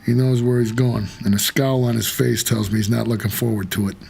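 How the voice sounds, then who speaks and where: An actor playing a doomed, haunted taxi driver for the dead, narrating an audio drama in a world-weary, measured noir manner. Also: male voice, mid-range voice, fairly even intonation, creaky, a little mellow, breathy edge. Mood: wry, observant.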